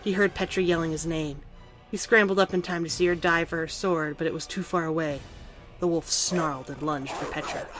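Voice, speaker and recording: A woman's voice: low voice, steady tone, fairly quick, music in the background. A woman reading scripted story narration for an audio drama.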